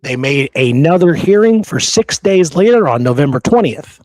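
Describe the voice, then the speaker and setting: A man's voice: a little high, expressive, normal speed, darkish tone, dry recording. Earnest man in dry, close sound. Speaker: podcast host. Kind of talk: conversational history podcast talk.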